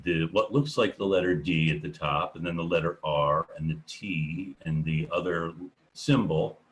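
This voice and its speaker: A male professor teaching an online class, asking students questions and explaining slowly, often pausing with "um" as he thinks aloud. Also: low voice, low-quality recording. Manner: unhurried, thinking aloud with many ums.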